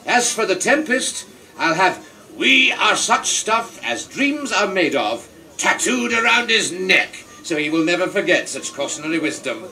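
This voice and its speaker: A man's voice: high-pitched, sing-song, a little slow, pushed and bright, soft background music, heavily acted, low-quality recording. An actor playing a genial, talkative king of England in a radio play, with a theatrical, condescending manner. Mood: magnanimous, grand. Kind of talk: broadcast radio play acting.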